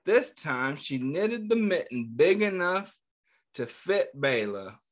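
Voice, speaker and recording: Slow, gentle man reading to kids. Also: fairly high pitch, sing-song. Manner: gentle, asks children questions between passages; slow, pausing reading with small slips. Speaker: children's storyteller. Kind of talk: read-aloud storytime.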